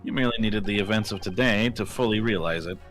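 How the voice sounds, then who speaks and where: Man playing a deep-voiced, courtly gentleman. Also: natural voice. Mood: composed, courtly. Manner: formal, measured, articulate. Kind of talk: fan-dub visual novel acting.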